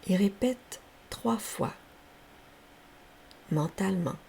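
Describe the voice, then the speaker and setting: A woman leading a guided yoga nidra relaxation as a teacher, speaking softly and breathily in gentle, unhurried, soothing instructions. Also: medium pitch, gravelly. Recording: faint steady background hiss.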